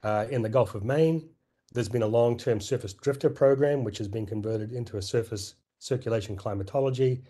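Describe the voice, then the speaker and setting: Man, hoarse, throat-clearing, halting with fillers, mellow dark tone, conference talk. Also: medium pitch. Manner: halting, many fillers and restarts. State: losing his voice, clearing throat. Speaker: conference presenter.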